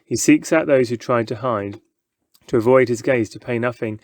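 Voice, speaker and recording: A man reads a children's novel aloud as an expressive storyteller. His voice sits at an ordinary male pitch, and the sound is dry, with little room around it. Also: moderate pace, no room sound.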